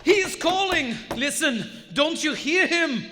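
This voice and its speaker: In an echoing theater, a haunted, half-mad submarine lieutenant in a live radio play. The voice is high for a man and drawn out slowly, with fervent, portentous melodrama. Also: lively intonation, noisy background. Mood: frenzied, pleading. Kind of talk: live radio drama acting. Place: on stage in a large historic theater. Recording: live theater hall with strong reverb.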